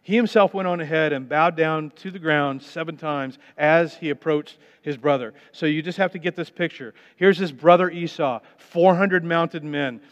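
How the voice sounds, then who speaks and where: Man preaching in a reverberant hall. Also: on the high side. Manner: storytelling retelling of scripture, dramatic pauses, swings between loud and hushed. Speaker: pastor. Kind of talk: sermon. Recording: muffled sound with long reverb in a large hall.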